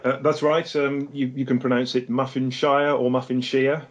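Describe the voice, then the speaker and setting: A man from England with a Lancashire accent, a guest comic artist chatting in a podcast interview, pausing often on uh and um as he talks. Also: mid-range voice, little pitch movement. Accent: Lancashire English. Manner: hesitant, many "uh" and "um" fillers.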